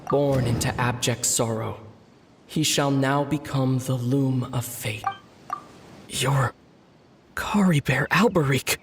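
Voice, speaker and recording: A solemn man's voice in long reverb with clear noise behind it. He intones slowly and portentously, as a grim prophetic figure in a video game. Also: mid-range voice. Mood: ominous, solemn. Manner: slow, portentous.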